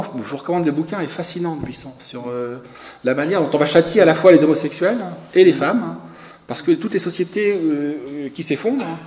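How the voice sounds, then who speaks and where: A man's voice: on the high side, darkish tone. A French man speaking at a conference, lecturing loosely in answer to a question, with many "hein"s and repeated words. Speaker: conference speaker, magazine editor. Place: conference hall. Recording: echoey hall.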